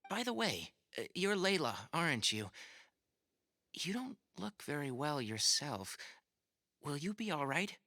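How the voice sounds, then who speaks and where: A man's voice: a little high, very animated, measured pace, bright, forceful tone, no room sound, heavily acted. An actor playing a level-headed young male scholar in a video game, articulate and frank as he explains things. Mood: wry, concerned.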